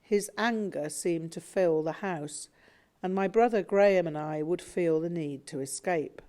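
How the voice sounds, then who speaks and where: A woman narrating a memoir audiobook in a measured, reflective way. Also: fairly low pitch, dark tone.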